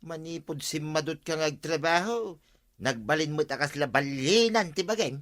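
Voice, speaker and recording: An actor playing an exasperated, nagging village husband in a comedy radio drama. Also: male voice, a little high, lively intonation, normal speed, fairly bright tone. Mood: scolding. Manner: nagging, reproachful.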